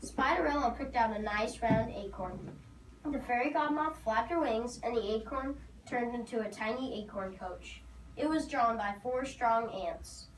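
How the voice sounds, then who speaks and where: A woman's voice: a little high, normal speed. A woman narrating a scripted storybook tale for a readers theater performance. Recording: noisy, echoey hall from far off.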